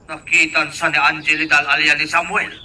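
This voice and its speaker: Man as a sharp, high, pushy interrogator. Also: level tone, moderate pace. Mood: nagging. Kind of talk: Ilocano radio drama acting. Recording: distant microphone.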